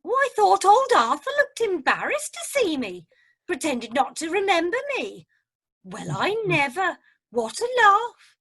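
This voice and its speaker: A woman's voice: very high voice, big pitch swings, unhurried, fairly bright tone, full character voice. A woman playing a chatty, nosy cruise passenger who loves gossip, in an amateur radio drama. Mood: gossipy, amused. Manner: gossipy, chirpy.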